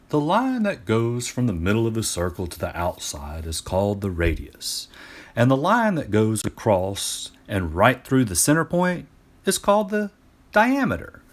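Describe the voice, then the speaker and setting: A man reading a scripted educational storybook aloud to students as a teacher, in an expressive, deliberate way. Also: on the low side.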